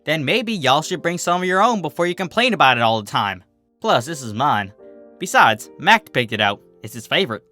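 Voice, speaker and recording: Man as a folksy farmer mare, bright tone. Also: fairly high pitch, big pitch swings, fairly quick, faint music behind. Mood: dry teasing. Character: steady, teasing female farmer pony, affectionate.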